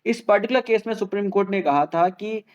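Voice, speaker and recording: A man's voice: high-pitched, a little fast, dull audio. An Indian man presenting an explainer in a didactic way, repeating key points and mixing Hindi with English. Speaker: host of a legal explainer channel.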